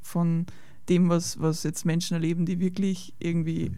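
Warm-toned Austrian woman, conversational. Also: lowish voice, flat delivery, moderate pace, no echo, studio quality. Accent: Austrian German. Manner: conversational, agreeable, repeats words as she searches. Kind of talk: casual conversation.

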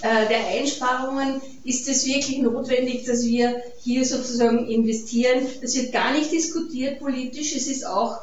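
A woman with an Austrian accent speaks to an event in a conversational, halting way. Her voice sits a bit high. It is picked up from afar in a very echoey space, with some background noise. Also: fairly even intonation. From Carinthia, Austria. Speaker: guest speaker, peace activist. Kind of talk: informal public lecture. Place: event hall, recorded from a distance. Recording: echoey room, distant muffled mic, noisy.

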